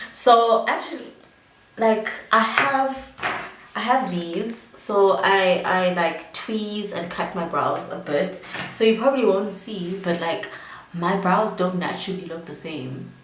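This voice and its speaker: A woman's voice: fairly low pitch, roomy sound, far from the mic, noisy background. A young South African woman, a little tipsy, chatting casually as she tells a story during a makeup vlog, rambling with plenty of fillers. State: tipsy from drinking. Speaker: beauty vlogger.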